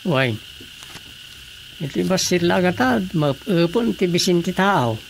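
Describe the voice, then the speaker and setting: A man acting an easygoing villager in an Ilocano radio drama, with an Ilocano accent and a creaky voice. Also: high voice, measured pace, exaggerated voice. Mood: casual, curious. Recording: steady background noise.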